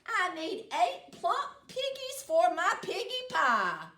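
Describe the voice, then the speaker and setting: A woman voicing Gritch, a shrill bossy witch. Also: huge pitch swings, slow, echoey room, exaggerated voice. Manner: shrill, shouting insults. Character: greedy cackling witch woman, bossy and rude.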